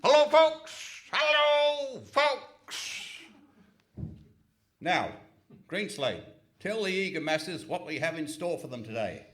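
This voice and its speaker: A man as a bumptious police inspector in a radio comedy. He declaims slowly in a high, swooping voice, full of self-importance. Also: big pitch swings, a bit bright, full character voice. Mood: grand, jaunty. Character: bumptious, grandly theatrical male Scotland Yard inspector. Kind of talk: absurd radio comedy acting. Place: hall stage, live performance.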